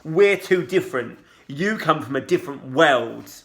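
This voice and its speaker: A man voicing an irritated teenage girl who speaks in a clipped, strained calm. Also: medium pitch, moderate pace, vocal fry. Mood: defiant. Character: irritable teenage girl holding her temper. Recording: roomy echo.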